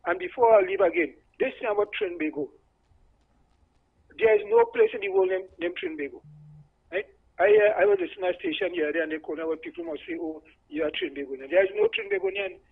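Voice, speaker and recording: An older man with a Trinidadian accent giving an emphatic, opinionated explanation on a radio call-in, halting and repeating words. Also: very high voice, wildly swooping pitch, vocal fry. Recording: dull lo-fi phone line on radio.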